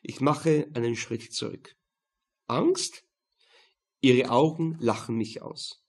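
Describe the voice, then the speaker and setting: A man's voice: mid-range voice, unhurried, gravelly, a little mellow. A man with a Viennese accent reading scripted first-person crime narration in a dry, laconic manner. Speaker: audiobook narrator.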